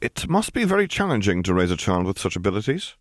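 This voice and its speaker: Male audiobook reader, very low voice, scripted story narration, dry close recording. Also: big pitch swings, quick pace, no room sound.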